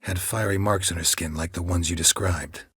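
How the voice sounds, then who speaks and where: An actor playing a stoic, world-weary monster hunter in a video game, with a gravelly, husky voice and terse, dry, deadpan delivery. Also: male voice, sits low, normal speed, no room sound, full character voice. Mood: dry, questioning.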